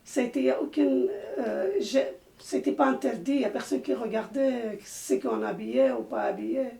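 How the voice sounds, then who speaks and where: Older woman from turkey, arabic native, gravelly mellow voice, stops and restarts a lot, echoey room, sounds far from the mic. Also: a little high. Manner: hesitant, halting speech with many restarts. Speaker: interviewee, mother. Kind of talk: personal testimony.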